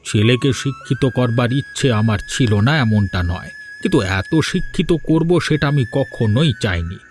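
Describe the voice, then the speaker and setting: A stern ageing village father from a cartoon, voiced by a man, weary and resigned. He talks gravely and with measured regret, music playing behind him. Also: medium pitch, expressive, close to an everyday voice. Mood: bitter, regretful. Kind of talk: Bengali cartoon dialogue. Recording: background music under dialogue.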